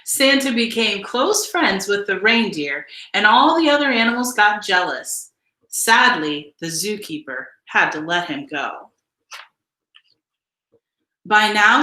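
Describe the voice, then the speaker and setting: A woman reading a children's picture book aloud in an expressive, deliberate storytelling style. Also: medium pitch, reverberant, poor audio. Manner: measured, deliberate storytelling delivery with emphasized phrases. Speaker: storybook reader.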